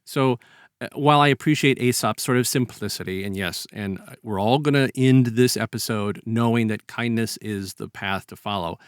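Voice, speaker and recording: A man's voice: mid-range voice, moderate pace, clean tone, no echo, clean studio sound. An American man co-hosting a podcast, talking casually in a reflective, hedging way with wry humor. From South Texas, United States (lives there). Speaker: podcast co-host. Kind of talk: casual discussion.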